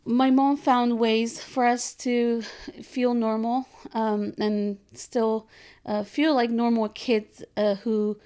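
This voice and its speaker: A woman from Afghanistan in her thirties, a guest speaking in a casual interview with many pauses and fillers. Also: fairly high pitch, flat delivery, dark tone, clean tone. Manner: hesitant, many ums and restarts. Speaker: guest, rug business founder.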